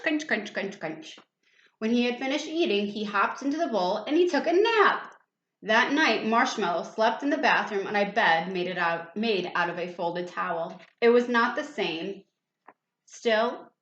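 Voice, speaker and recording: A woman reading a storybook aloud to young children in an expressive, animated way, adding little questions and asides for the listeners. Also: medium pitch, echoey room, highs cut off.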